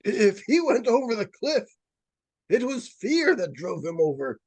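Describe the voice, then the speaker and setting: An ailing doctor as acted in a 1940s radio thriller, courteous and formal. The voice is weak and husky, halting and very slow. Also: medium pitch, very animated, a little mellow, full character voice. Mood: guarded, grave. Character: ailing, courteous doctor shaken after a haunting. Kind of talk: 1940s radio suspense acting.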